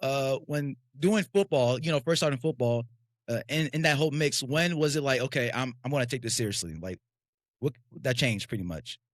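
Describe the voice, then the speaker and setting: A man co-hosting a casual podcast, excitable and full of quick, friendly banter. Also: medium pitch, no echo, clean studio sound. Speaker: podcast co-host.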